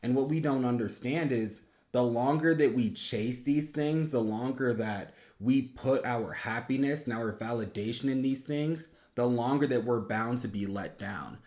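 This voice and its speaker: A man's voice: medium pitch, unhurried, hardly any pauses, a little mellow. A young man giving earnest, reflective faith-based commentary in a conversational, explanatory way, as the host of a review video. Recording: roomy, echoing sound.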